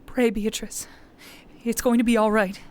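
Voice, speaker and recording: A woman's voice: a little high, little pitch movement, a little hiss or hum, natural voice. A woman playing a grave warrior nun narrator. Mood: urgent. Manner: grave, measured. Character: determined young female warrior nun, narrating. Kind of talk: first-person scripted narration.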